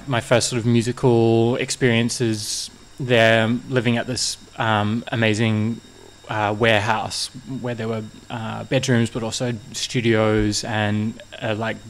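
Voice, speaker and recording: A man's voice: lowish voice, flat delivery, a little slow, a little hiss or hum, rough recording. A young man from New Zealand, a musician guest talking casually and rambling, with many fillers and restarts. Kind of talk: casual interview chat.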